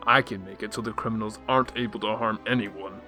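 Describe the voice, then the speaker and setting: A man's voice: lowish voice, fairly even intonation, fast, pushed and bright, faint music behind. A man playing a rich playboy who is secretly a brooding vigilante in a radio drama. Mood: frustrated, defensive.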